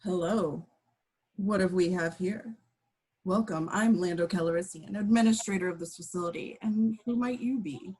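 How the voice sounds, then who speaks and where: A woman playing a suave, dashing male administrator in his thirties with a teasing, drawn-out delivery in a script read. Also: lowish voice, a little mellow, breathy edge, poor audio. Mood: smooth, flirtatious. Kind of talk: dramatic table read of a film script.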